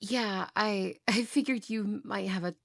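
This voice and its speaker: A woman's voice: mid-range voice, measured pace, gravelly. A woman playing a cheerful, chatty elf who loves Christmas and passes as a human roommate, in an ASMR roleplay. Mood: sheepish, awkward. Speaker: roleplay voice actress.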